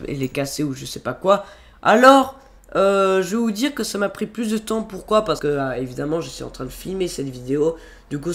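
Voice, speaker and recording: A young man with a cold, narrating a casual screen-recorded video-editing tutorial in a rambling way, with many fillers and restarts. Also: on the high side. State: has a cold. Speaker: tutorial youtuber. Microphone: computer microphone.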